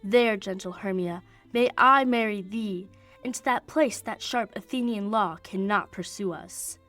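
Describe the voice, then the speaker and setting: A woman's voice: medium pitch, measured pace, fairly bright tone, soft background music, lightly acted. A young woman playing an ardent young nobleman in love in a radio play of Shakespeare, speaking poetic verse. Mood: eager, conspiring. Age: young adult. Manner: declamatory, poetic verse delivery.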